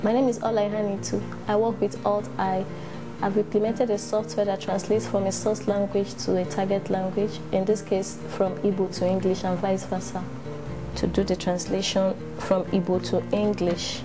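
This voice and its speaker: A woman developer introducing herself and describing her translation software. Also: mid-range voice, flat delivery, moderate pace, low-quality recording. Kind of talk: documentary self-introduction. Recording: music under voice.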